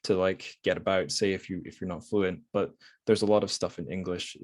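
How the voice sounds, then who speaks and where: Low-voiced man talking books. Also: steady tone, brisk, a little mellow. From Scotland. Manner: hesitant, self-correcting, rambling. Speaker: podcast host. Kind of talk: casual book discussion.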